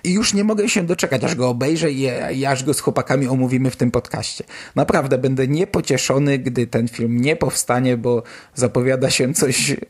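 A man's voice: mid-range voice, brisk, breathy edge. Man hosting a casual podcast. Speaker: podcast host. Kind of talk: casual news commentary on a podcast.

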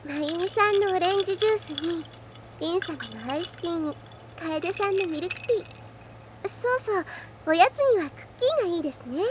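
A woman's voice: very high voice, animated, a little fast, background noise. A woman playing a sweet, modest and very polite teenage demon princess in an anime audio drama. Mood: cheerful.

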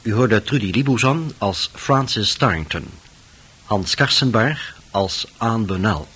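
A man's voice: fairly low pitch, lively intonation, slow, fairly bright tone, slightly rough. A man reading formal scripted closing credits as a radio drama announcer.

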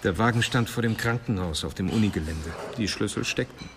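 A man's voice: lowish voice, brisk, a little hiss or hum, natural voice. A man playing a practical, teasing police colleague who talks in a wry, matter-of-fact way in a radio crime drama. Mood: briefing, wry.

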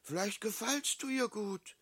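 A fat Austrian village baker in a radio drama, needling with smug, comfortable mockery. The voice is bright and sharp, recorded very dry. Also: male voice, sits high, measured pace, no echo. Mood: teasing. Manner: teasing, comfortable, mocking. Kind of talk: German radio play acting.

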